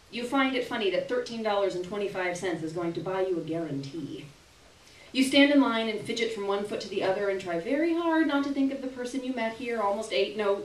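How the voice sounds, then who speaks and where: Expressive young woman reading, roomy and distant. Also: mid-range voice. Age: young adult. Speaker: performer reading a short story aloud. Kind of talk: live dramatic literary reading. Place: small gallery room, live stage reading. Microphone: stand microphone, recorded by distant camera. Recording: roomy, distant camera audio with hiss.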